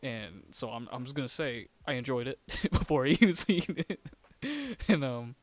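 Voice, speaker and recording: A man's voice: medium pitch, expressive, moderate pace, dry recording. A man co-hosting a casual podcast, talking in a rambling way with many restarts and laughs. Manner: rambling, repeats himself, laughs often. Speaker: podcast co-host. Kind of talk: casual chat.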